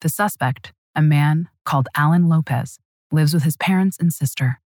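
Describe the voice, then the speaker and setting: A woman narrating a true crime story in a measured, dramatic scripted style. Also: deep voice, darkish tone, dry recording, clean studio sound.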